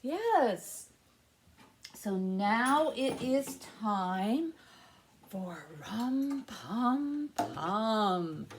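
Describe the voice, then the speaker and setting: A woman with a very high voice presents rhymes and songs for babies. Her delivery is slow, exaggerated and sing-song, as for very young children. There is faint noise in the recording. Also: expressive. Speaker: library story time presenter. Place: small indoor room with alphabet backdrop.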